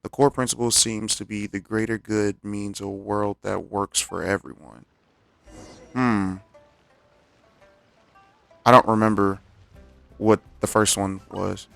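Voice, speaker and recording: A man's voice: fairly low pitch, steady tone, takes it slowly, gravelly, pauses often, fairly bright tone. A man streaming a game, giving casual, laid-back commentary and reading on-screen text aloud with mumbled asides. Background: faint game music.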